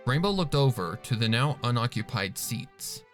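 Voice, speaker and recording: A man narrating a dark story from a script in a dramatic reading style. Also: medium pitch, big pitch swings, moderate pace, soft background music. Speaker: fan fiction reader.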